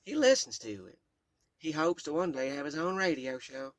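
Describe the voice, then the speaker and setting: A woman's voice: low-pitched, big pitch swings, moderate pace, no echo, pitched above the performer's own voice. A man voicing a tough, proud great-grandmother in her later years, speaking with a Southern Kentucky accent in a blunt, bossy drawl. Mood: commanding. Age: older.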